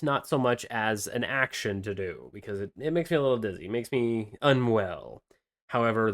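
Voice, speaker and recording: A man co-hosting a podcast, chatting casually about games and rambling with lots of fillers and restarts. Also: medium pitch. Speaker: podcast co-host presenting game news.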